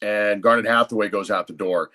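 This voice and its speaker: A man who works as a radio play-by-play announcer, giving measured, fluent sports analysis as a podcast guest. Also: fairly low pitch, fairly even intonation, bright, forceful tone.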